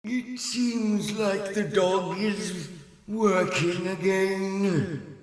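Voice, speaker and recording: A man's voice: sits high, extremely slow. A man playing a gloating, sadistic trickster villain in an audio drama, taunting and theatrical. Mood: sneering. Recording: echoey reverberant hall, distant, music behind.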